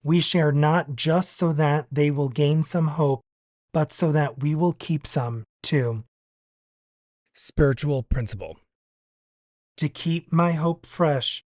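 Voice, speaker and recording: A man's voice: a little high, slow pace, darkish tone, no echo, studio quality. A man reading a daily reflection aloud for a podcast. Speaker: podcast guest reader.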